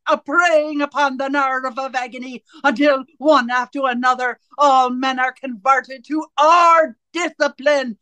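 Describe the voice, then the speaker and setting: Woman acting a cold, high-voiced female torturer. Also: bright, forceful tone, no echo. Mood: commanding. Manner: deliberate, domineering, taunting. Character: cold, menacing female interrogator and torturer of a totalitarian regime. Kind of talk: radio drama acting.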